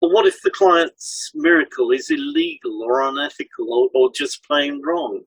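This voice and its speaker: A man's voice: on the high side, moderate pace, a bit bright, slightly rough, no echo, low-quality recording. A man co-hosting a podcast, reading scripted instructional narration. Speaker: podcast co-host, hypnotherapist.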